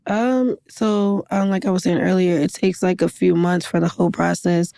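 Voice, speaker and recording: Casting: twenties woman, raspy gravelly singer's speaking voice, laid-back interview guest, dry close mic. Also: on the low side, normal speed, dry recording. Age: 20s. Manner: relaxed, filler-heavy, laughs easily. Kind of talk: casual interview chat.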